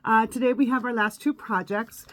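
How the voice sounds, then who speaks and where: A woman's voice: fairly high pitch, fairly even intonation, moderate pace. A woman in her fifties, a little sniffly from allergies, chatting through a live craft tutorial with lots of ums and uhs. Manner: chatty, many ums and uhs, step-by-step instructions. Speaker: craft instructor. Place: home craft room, indoors.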